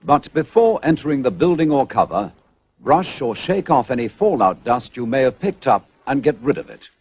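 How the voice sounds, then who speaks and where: A British man reading a 1970s public information film script in a measured, formal, instructional way. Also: mid-range voice, very animated, darkish tone, slightly rough. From United Kingdom. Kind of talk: scripted narration, 1970s civil defence announcement. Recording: dull, band-limited 1970s film soundtrack.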